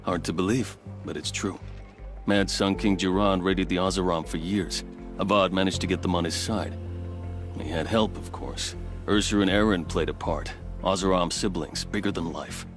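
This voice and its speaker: An actor playing a loyal, wry veteran fort captain in a video game, speaking in measured, formal phrases with dry asides. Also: male voice, low-pitched, steady tone, lightly acted. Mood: wry, proud. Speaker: fort captain quest giver. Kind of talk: video game dialogue acting. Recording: game soundtrack music behind. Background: music.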